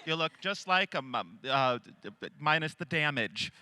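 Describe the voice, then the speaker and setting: Male panelist, slightly high voice, teasing rambler, stage mic in a noisy convention hall. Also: animated, normal speed, bright, forceful tone. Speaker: voice actor panelist. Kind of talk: casual joking on a live convention panel. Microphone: stage microphone.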